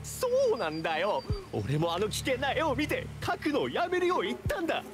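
A man's voice: very high-pitched, wildly swooping pitch, a little fast, exaggerated voice. Chatty male bandit, anime game, eager, slangy, extremely swooping intonation, music behind. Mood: complaining. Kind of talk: anime-style game voice acting.

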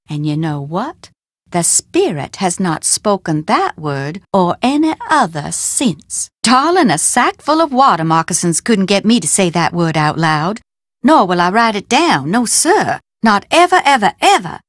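A woman's voice: mid-range voice, a bit bright, dry recording, studio quality. A woman playing a folksy, superstitious herb-growing Southern woman in a video game, with a theatrical, emphatic drawl. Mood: hushed, spooked. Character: folksy, superstitious Southern woman who grows hoodoo herbs. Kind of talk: video game character dialogue.

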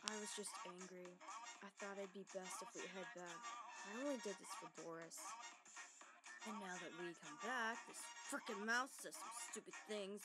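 A woman's voice: mid-range voice, normal speed, lightly acted. A woman voicing a distrustful, angry young male cartoon devil who protects his brother, curt and sulky in a comic dub. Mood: quiet, resentful. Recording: music under the voice. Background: background music.

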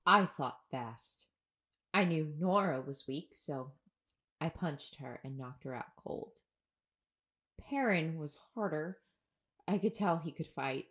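A determined young woman haunted by what she has seen. Her voice is slightly low and very unhurried. She recounts events gravely and haltingly, as in an audio drama. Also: expressive, a bit bright, full character voice. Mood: tense, defensive. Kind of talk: audio drama narration and dialogue.

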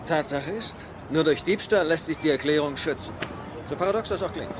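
An actor in a German film dub playing an earnest, determined treasure hunter with a measured, serious manner. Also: male voice, fairly high pitch, noisy background, lightly acted. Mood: solemn, resolute. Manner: measured, serious, hesitant when explaining.

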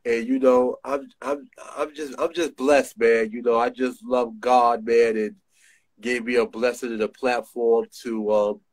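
A man's voice: medium pitch, fairly even intonation, unhurried. A middle-aged man with a New York area accent, a designer talking as a guest on a phone video call, using street slang and repeating himself emphatically. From New Jersey, USA. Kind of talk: casual interview on a video call. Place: small room indoors. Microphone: phone front camera.

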